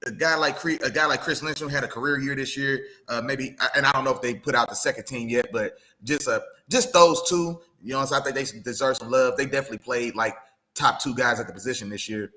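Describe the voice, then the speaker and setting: A man co-hosting a casual sports podcast, animated and opinionated, often saying "you know what I'm saying.". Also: mid-range voice, quick pace.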